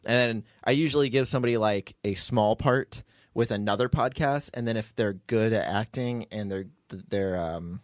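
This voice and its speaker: A man with a fairly low voice hosts a casual podcast. He talks informally and loosely, rambling and cutting into his own sentences as he goes. The sound is very dry, with no room echo. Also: moderate pace. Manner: rambling, informal, self-interrupting. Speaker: podcast host, writer and producer. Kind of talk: casual unscripted chat.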